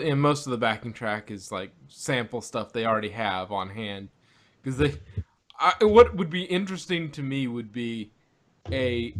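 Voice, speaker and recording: A man's voice: mid-range voice, fairly bright tone. A man hosting a casual podcast discussion, rambling as he thinks aloud. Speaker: podcast host reviewing audio dramas.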